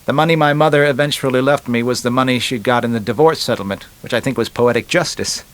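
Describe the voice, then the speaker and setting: A man's voice: mid-range voice, quick pace, natural voice. A man playing a glib, wisecracking young man. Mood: bitter, self-justifying. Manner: chatty, wisecracking, punchy emphasis. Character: glib, joking, resentful young man from Los Angeles.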